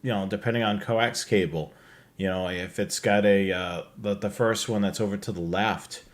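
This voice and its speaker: A man with a fairly low voice hosts a live hobby show. He walks through products in a casual, conversational style, as if guiding viewers through slides. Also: steady tone, moderate pace. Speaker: show host presenting slides.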